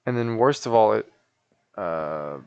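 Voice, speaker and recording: A man's voice: fairly low pitch, animated, slow pace, gravelly, a little mellow, smooth, no echo, highs cut off. A sleepy man chatting casually and in a rambling, folksy way as he live-streams a game.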